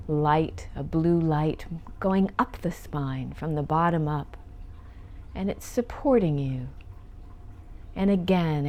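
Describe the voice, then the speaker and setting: Female meditation guide, slightly low register, very unhurried, gentle and soothing delivery. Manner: gentle, soothing, lingering on phrases. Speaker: meditation teacher.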